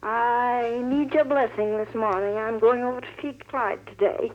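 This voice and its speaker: A woman's voice: on the high side, steady tone, measured pace. A woman phoning in to a radio show over a muffled telephone line, chatting casually as a listener. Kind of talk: casual phone chat.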